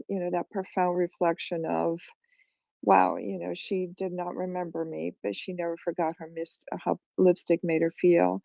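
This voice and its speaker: Middle-aged woman from Peru, gravelly and mellow, reflective guest on a dull lo-fi call. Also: on the low side, dry recording. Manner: reflective, unhurried, with many fillers and restarts. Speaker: guest, beauty brand founder. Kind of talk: conversational interview on a live audio chat. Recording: dull lo-fi online audio call.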